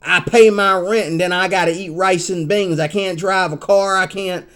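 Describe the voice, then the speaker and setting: A man's voice: high voice, steady tone, moderate pace, a bit bright. A man in his fifties ranting in a casual vlog, rambling and repeating himself, growing heated and emphatic. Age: 50s. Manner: rambling, repeats "you know what I mean". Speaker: vlogger.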